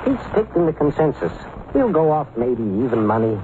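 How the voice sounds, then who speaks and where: An actor playing a cynical, wisecracking racetrack bookmaker in an old radio drama, with dry delivery and a thin vintage sound. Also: male voice, medium pitch, very animated, moderate pace, natural voice. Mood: wry. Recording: narrowband old radio recording, faint hiss.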